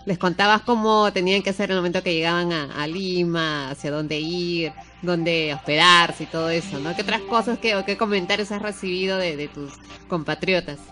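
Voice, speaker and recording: A woman's voice: fairly low pitch, moderate pace, hardly any pauses, over music. A woman co-hosting a radio interview who asks questions hesitantly and repeats words while she forms them. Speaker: radio co-host.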